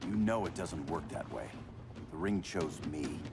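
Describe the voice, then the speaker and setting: An actor playing a remorseful space-ranger superhero in a game cutscene, earnest and a little defensive. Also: male voice, low voice, lively intonation, unhurried, vocal fry, exaggerated voice. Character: remorseful male space-ranger superhero seeking a second chance. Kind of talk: video game cutscene acting. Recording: loud music behind. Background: loud cinematic music.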